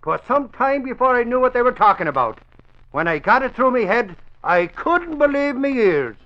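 A man's voice: very high-pitched, very animated, normal speed. An actor playing a boastful, gullible Irish newspaperman with a pompous, blustering manner in an old radio comedy-drama. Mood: proud, amazed. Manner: pompous, blustering, self-important. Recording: muffled old radio recording.

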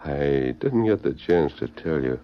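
A man acting a shrewd, calm masked cowboy hero in an old-time radio western, with a measured, authoritative delivery. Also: a bass voice. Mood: calm, probing. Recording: muffled, noisy vintage radio recording.

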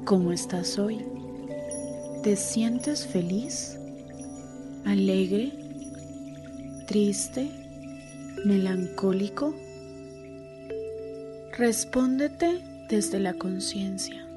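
A woman's voice: medium pitch, drawn-out words, pauses often, music in the background. A woman narrating a scripted guided meditation.

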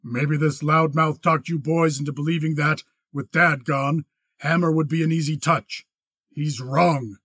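A man voicing an angry, cold young cattle rancher in an audiobook western, flat, clipped and challenging. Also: a little high, measured pace, slightly rough, no echo, full character voice. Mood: threatening.

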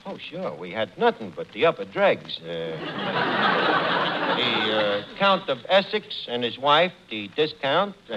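A wisecracking tavern manager in a radio comedy, slangy and boastful. The voice is high for a man and very animated, on a dull, slightly noisy old recording. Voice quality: colloquial, slangy delivery. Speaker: bar manager in a radio sitcom.

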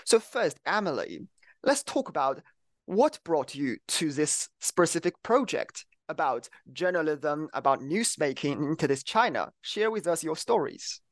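A man's voice: fairly high pitch, big pitch swings, measured pace, no room sound. A young man hosting an academic podcast interview, speaking earnestly with a few restarts. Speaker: podcast host, PhD student. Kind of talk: interview introduction.

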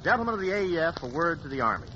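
Brisk man on an old radio broadcast. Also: a little high, very animated, bright, forceful tone. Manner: brisk, breezy showman patter. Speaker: radio variety show host. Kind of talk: 1940s radio announcing. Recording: muffled old 1940s radio broadcast with hiss.

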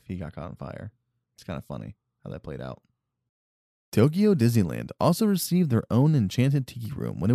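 A man reads a history segment on a podcast from his notes, stumbling now and then over a word. His voice is mellow and dark, and his pitch moves around a lot. The sound is dry and close. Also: gravelly, no room sound. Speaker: podcast co-host. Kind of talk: reading a history segment, casual banter.

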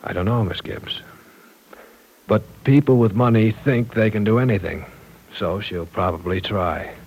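An actor playing an earnest, apologetic university professor in an old-time radio drama, formal and courteous yet insistent. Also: male voice, lowish voice, measured pace, gravelly, a little mellow, slight background noise, poor audio. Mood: bitter, sincere.

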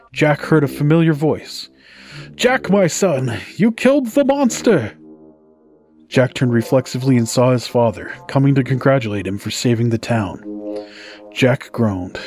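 A man's voice: medium pitch, darkish tone. A man hosting a podcast, narrating folktales from a script in a storytelling style. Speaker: podcast host. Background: music.

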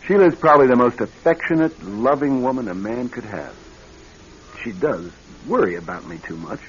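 An actor in a radio drama playing a nervous young married executive troubled by bad dreams, speaking in a halting, earnest way. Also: male voice, mid-range voice, animated, a little hiss or hum, lightly acted. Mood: anxious, defensive. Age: young adult. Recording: dull band-limited old radio recording.

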